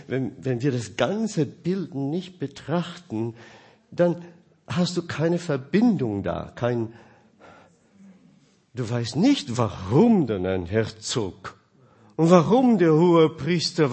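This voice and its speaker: A man preaching a sermon in German, which is not his first language, asking his listeners questions and swinging between loud and quiet. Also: medium pitch, slightly rough.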